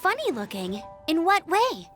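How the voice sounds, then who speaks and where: A very high, animated young female knight in a video game. She is cheerful and bold, speaking with decisive, encouraging pep. Faint music plays behind her. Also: measured pace, exaggerated voice. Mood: determined.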